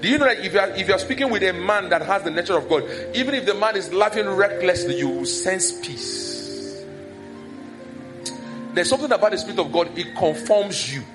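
A male preacher speaks in a relaxed, conversational way, mixing teaching with personal anecdotes. His voice sits fairly high for a man. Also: brisk, music in the background. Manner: conversational, telling personal stories. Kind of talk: sermon.

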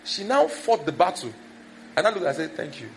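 A man's voice: high voice, big pitch swings, normal speed, vocal fry, slight background noise, low-quality recording. A man preaching a conversational sermon that is full of personal stories.

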